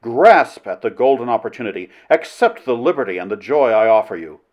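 A man's voice: medium pitch, very animated, brisk, darkish tone, lightly acted. A man voicing a bold, cunning adventurer in an old romance audiobook, impassioned and formal in speech. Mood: enthusiastic, persuasive. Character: bold, cunning adventurer hero wooing a princess.

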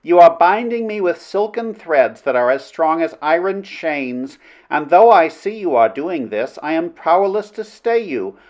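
Proud storybook princess, played by a male reader: raised pitch, swooping melodrama, archaic formal phrasing, slightly dull recording. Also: big pitch swings, a little slow, few pauses, darkish tone. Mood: astonished, wavering. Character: proud commanding princess, stern then helplessly yielding to love. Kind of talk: audiobook character voice in old adventure romance.

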